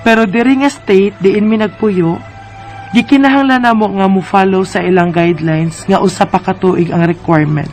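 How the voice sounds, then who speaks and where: A woman's voice: medium pitch, moderate pace, a little mellow, faint music behind, close to an everyday voice. A woman with a Filipino Visayan accent acting a weary, homesick wife in an earnest radio drama. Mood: reflective. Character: weary, homesick Filipina wife divorcing her American husband.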